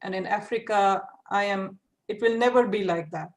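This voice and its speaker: A woman speaking on a webinar panel, asking questions in a halting way and repeating words while she searches for phrasing. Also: medium pitch. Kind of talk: webinar discussion. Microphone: video call.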